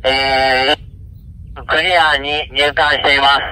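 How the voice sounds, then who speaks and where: A man's voice: mid-range voice, level tone, slow pace, sharp tone, smooth, rough recording. A man giving brief, polite replies, heard through a two-way radio outdoors. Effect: heard through handheld two-way radio. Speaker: radio operators answering calls. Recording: radio speaker outdoors, faint noise.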